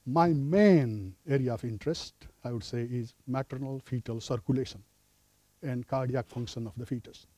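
A middle-aged man from Nepal giving an academic lecture as a professor of obstetrics, speaking in a measured, hesitant way with fillers. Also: mid-range voice, dark tone, no room sound. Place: lecture room with a projector.

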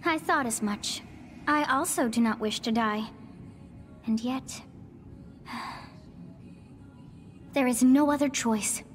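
A haughty girl goddess from an anime game, ancient and solemn. The voice is high and slow, with archaic, theatrical delivery. It rings in a long reverb over music. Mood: solemn reproach. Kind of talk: anime video game voice acting.

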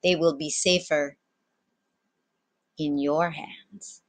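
A woman's voice: low voice, steady tone, very slow, voice pushed down. A woman voicing a sly, commanding male sea captain in a theatrical storybook read-aloud, tagging lines with little questions. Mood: confiding.

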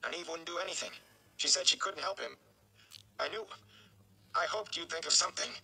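A man's voice: a little high, normal speed, gravelly, sharp tone, pitched above the performer's own voice, exaggerated voice, rough recording. A man voicing a distraught young farm lad in a fantasy storybook, pleading in a strained way. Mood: desperate.

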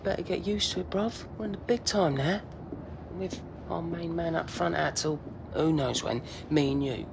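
A man's voice: on the high side, normal speed, vocal fry. A British man playing a cocky young footballer in a game cutscene, laddish and slangy. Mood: confident. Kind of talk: naturalistic game cutscene acting. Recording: noisy aircraft cabin ambience.

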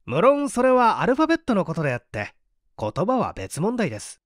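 An assured male detective scientist read by a man for a mystery audiobook. He lays out deductions patiently and articulately, like a teacher. The voice is highish and very animated, recorded dry. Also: brisk, no room sound. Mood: assured, explaining. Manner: didactic, articulate. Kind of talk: dramatised audiobook reading.

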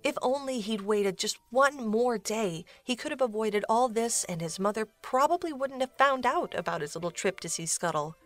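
A woman's voice: fairly high pitch, lively intonation, moderate pace, hardly any pauses, soft background music. A woman reading scripted storybook narration for an audio drama.

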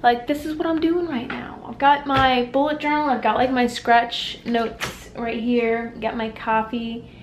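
A woman's voice: on the high side, level tone, unhurried, noisy background. A young woman in her twenties, chatting casually to a handheld camera at home and in her car, with lots of "like" fillers. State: out of breath and overheated after a run. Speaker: vlogger. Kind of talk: casual daily vlog chat. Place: small home office, kitchen, then parked car. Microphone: handheld camera at arm's length.

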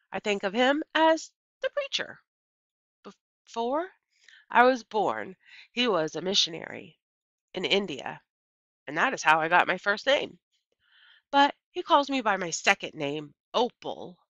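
A middle-aged woman reading a children's novel aloud like a teacher, with expressive, deliberate storytelling and emphasized key words. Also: lowish voice, no echo. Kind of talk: children's novel read-aloud, scripted narration. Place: small indoor room with bookshelves. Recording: dull, lo-fi computer microphone sound.

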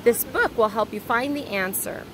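A woman voicing a calm, mysterious old enchantress librarian in a children's storybook, measured and solemn. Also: fairly high pitch, noisy background, lightly acted.

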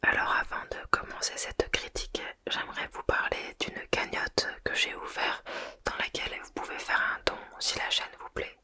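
A speaker reading a novel aloud in a soft, unvoiced whisper and then reviewing it, close to the microphone. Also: normal speed. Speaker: book reader and reviewer.

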